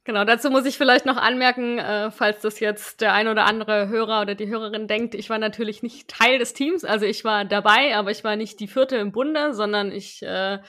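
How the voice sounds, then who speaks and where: Bright-voiced woman hosting a casual chat. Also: medium pitch, fairly even intonation, brisk, few pauses. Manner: asks guests questions in a chatty, rambling way. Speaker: podcast host.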